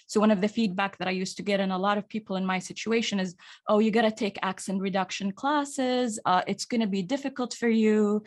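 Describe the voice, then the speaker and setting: A woman's voice: medium pitch, steady tone, fairly quick. Saudi-accented woman narrator in conversation. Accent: Saudi Arabian. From Saudi Arabia. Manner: thoughtful, with frequent restarts. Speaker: guest, actor and audiobook narrator. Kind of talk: casual interview talk.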